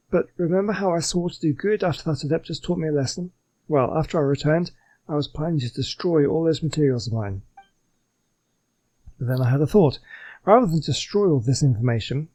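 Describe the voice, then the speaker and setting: A man's voice: fairly high pitch, warm tone, lightly acted, low-quality recording. A man voicing an earnest, polite reformed swindler from a video game, reading the dialogue in a wordy, scholarly way.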